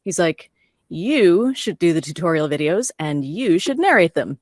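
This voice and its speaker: A woman's voice: lowish voice, very animated, moderate pace, darkish tone, no echo, clean studio sound. A woman voice actor casually telling a personal story with a wry, self-amused manner. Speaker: voice actor, panel guest.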